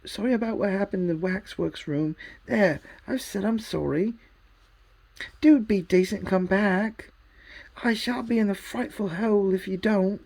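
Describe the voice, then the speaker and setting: A woman's voice: mid-range voice, normal speed, a little mellow, voice pushed up, lightly acted. A woman voicing an anxious, flustered young English boy in a storybook reading, pleading and exasperated. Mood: apologetic.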